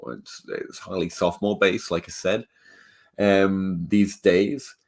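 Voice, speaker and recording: A man hosting a fantasy football podcast, walking through stats in a measured way with dry humor, his nose a little stuffed up. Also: deep voice, level tone, darkish tone. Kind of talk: analytical podcast talk.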